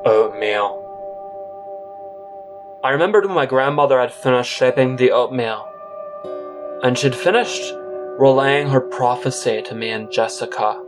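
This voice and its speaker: A man narrating a scripted audio drama in the first person, with a deliberate, deadpan delivery. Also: medium pitch. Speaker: narrator playing a fast-food worker. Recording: voice over prominent music.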